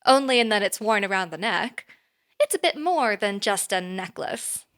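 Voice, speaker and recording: A woman's voice: medium pitch, lively intonation, moderate pace, a bit bright. A woman playing a poised female boss in an audio drama, speaking formally and precisely as she earnestly interviews an applicant. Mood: composed, explanatory. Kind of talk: audio drama acting, conversational.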